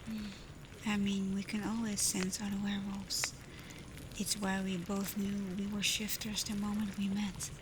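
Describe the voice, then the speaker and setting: Woman playing a female alpha werewolf, tender and protective, hushed breathy ASMR delivery, even unhurried tone, clear ambient storm noise. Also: mid-range voice, close to an everyday voice. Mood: soothing, curious. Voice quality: soft, breathy, often whispered. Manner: slow, gentle, hesitant when confessing feelings. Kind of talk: intimate ASMR roleplay addressed to listener.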